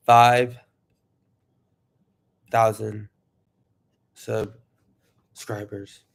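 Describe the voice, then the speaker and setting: A man casually chatting to viewers on his livestream, rambling and excitable, sniffling from allergies. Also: mid-range voice. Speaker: YouTuber livestreaming.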